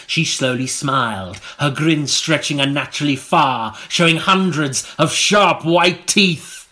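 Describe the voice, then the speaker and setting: A man reading a mock-serious gothic story as an audiobook narrator, with a melodramatic, deliberate delivery. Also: on the high side, pushed and bright. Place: recording studio.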